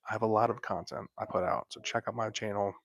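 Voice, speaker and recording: Guy whispering low into a mic at night, raspy gravelly voice, chill chatty livestream vibe. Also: little pitch movement, quick pace, dry recording, dull audio. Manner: soft whispering, laid-back and chatty. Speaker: livestream host. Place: at home, lying in bed. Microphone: close microphone.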